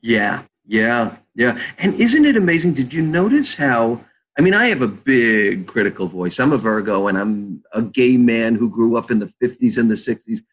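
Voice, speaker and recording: An older man, a therapist, leading a slow guided visualization and then chatting about his practice. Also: medium pitch, very animated, husky, poor audio. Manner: slow soothing guided-meditation delivery.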